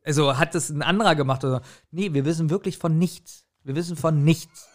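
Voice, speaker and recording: Man, fairly high voice, animated storyteller, casual podcast chat, chuckles often. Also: a little fast. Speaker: podcast co-host. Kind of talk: casual chat, personal anecdotes.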